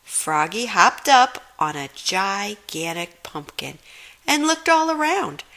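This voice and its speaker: A woman's voice: medium pitch, sing-song, slow pace, vocal fry, bright, forceful tone. A woman reading a children's storybook aloud expressively, giving dramatic emphasis to the sound words. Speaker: storybook reader.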